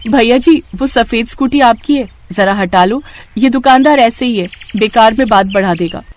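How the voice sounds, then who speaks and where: A woman's voice: fairly high pitch, normal speed, lightly acted. A woman voicing a polite, conciliatory female snack seller in a cartoon story. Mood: polite, placating.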